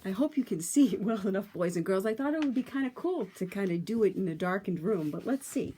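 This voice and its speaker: Lady doing storytime for kids, super lively up and down, stretches words out for drama. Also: medium pitch, husky. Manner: dramatic, emphatic phrasing with drawn-out words. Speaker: storyteller reading aloud to children. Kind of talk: expressive children's picture-book read-aloud.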